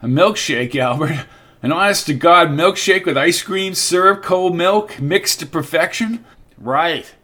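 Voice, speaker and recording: A man's voice: a little high, a little slow, sharp tone, pitched above the performer's own voice. A man reading the part of a weary, restless man from California who talks emphatically and sardonically. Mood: sardonic.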